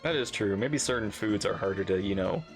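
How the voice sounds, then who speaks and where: A man's voice: on the low side, a little fast, over music. A man doing casual live reaction commentary, teasingly talking back to game characters. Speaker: reaction streamer.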